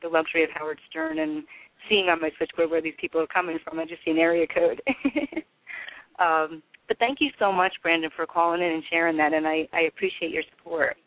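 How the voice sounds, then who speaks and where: A man's voice: a little high, lively intonation, brisk, no echo. A man hosting a casual call-in radio show, chatting with co-host and callers over a phone-like connection. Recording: tinny phone-like band.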